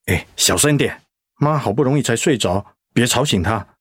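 An actor playing a defensive, exasperated eldest son in a radio play. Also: male voice, mid-range voice, expressive, moderate pace, slightly rough, no echo, studio quality. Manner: hushed then exasperated, arguing.

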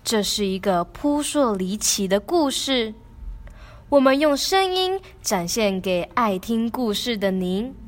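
High-pitched teenage Taiwanese presenter, female. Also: expressive, slow. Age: teens. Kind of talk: scripted radio drama introduction.

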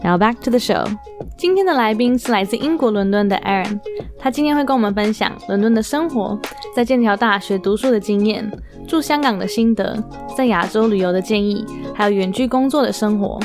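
A young woman in her twenties hosting a podcast interview in a relaxed, chatty way, laughing often. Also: mid-range voice, a little fast, music in the background. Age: 20s. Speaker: podcast host.

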